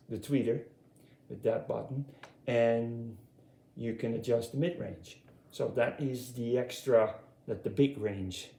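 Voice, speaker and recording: Mellow middle-aged man, echoey room. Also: mid-range voice, creaky. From Europe. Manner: halting, repeats words. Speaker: audio hobbyist presenting his speaker collection. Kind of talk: casual unscripted product walkthrough.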